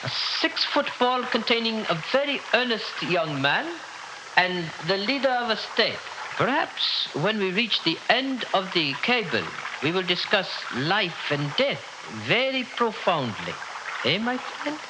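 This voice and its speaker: An imperious leader with a high voice that swoops widely. He is pompous and formal, quick to give orders and easily rattled, in an old radio play with clear background noise. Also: big pitch swings. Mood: haughty, amused. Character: imperious head of state, haughty, easily panicked. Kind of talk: 1960s radio drama acting. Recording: noisy old radio recording.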